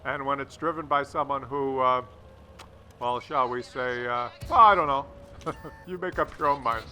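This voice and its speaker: A man's voice: mid-range voice, moderate pace, bright, forceful tone. A man hosting a gaming video with casual, wry and sarcastic replay commentary. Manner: wry, sarcastic, teasing, crude joking. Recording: faint game noise behind voice.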